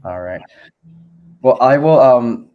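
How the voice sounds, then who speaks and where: A man's voice: medium pitch, lively intonation, slow pace, muffled recording. A man in his forties from the Philippines, a nurse and business owner, talking in a friendly, conversational way on a group video call, with many ums.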